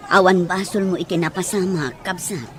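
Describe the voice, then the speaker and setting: An actor voicing forgiving middle-aged parent, medium pitch, consoling, crowd behind, audio drama. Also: moderate pace, close to an everyday voice. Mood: consoling, resigned. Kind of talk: Filipino audio drama dialogue in Ilocano.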